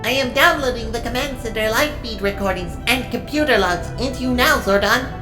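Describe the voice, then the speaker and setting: Woman voicing robot helper, highish voice, roomy echo, precise and formal, dutiful, music underneath, audio drama. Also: brisk, lightly acted. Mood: brisk, focused. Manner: precise, formal status reporting. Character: helpful, dutiful robot assistant in a command center.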